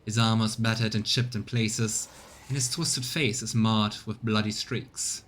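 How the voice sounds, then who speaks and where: A man's voice: on the low side, level tone, unhurried, fairly bright tone. A man giving casual let's play commentary and reading game text aloud. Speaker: streamer. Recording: clear background noise.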